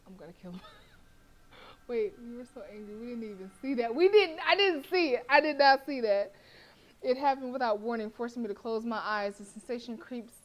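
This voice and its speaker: A woman streamer reading a horror visual novel aloud with expressive narration, breaking off to react and laugh. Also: medium pitch, a little hiss or hum.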